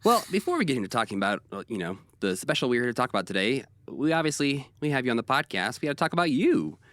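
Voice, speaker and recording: Chicago man, co-host, lively up-and-down voice, dry close sound. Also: medium pitch, quick pace, no echo. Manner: upbeat, animated introductions. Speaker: podcast co-host. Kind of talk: casual chat. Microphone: video call.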